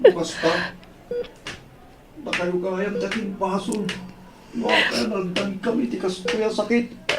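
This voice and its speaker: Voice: mid-range voice, steady tone, takes it slowly, pauses often, background noise. An actor playing a bitter, despairing sick young boy in a radio drama, with a resentful, plaintive delivery. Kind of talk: tearful radio drama acting. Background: music.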